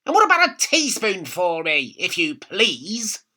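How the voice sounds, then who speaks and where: A man voicing a nasty, bossy old grandmother from a children's storybook, scolding and snapping with drawn-out emphasis. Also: high voice, huge pitch swings, pushed and bright, voice pushed up, exaggerated voice. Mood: demanding, peevish.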